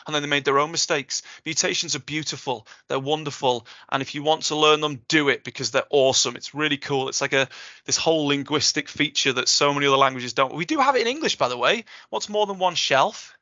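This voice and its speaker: A man's voice: a little high, fairly quick, bright, forceful tone, dry recording. Upbeat man on a muffled video call. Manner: enthusiastic, jokey, reassuring. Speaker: guest speaker, Welsh tutor. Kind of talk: casual Q&A chat.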